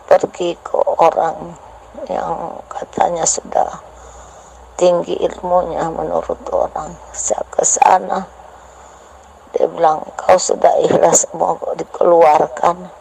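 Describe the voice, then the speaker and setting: A woman's voice: lowish voice, vocal fry, a little mellow, slight background noise, low-quality recording. A woman in a voice message, hesitantly telling a teacher about her personal experiences and asking for advice.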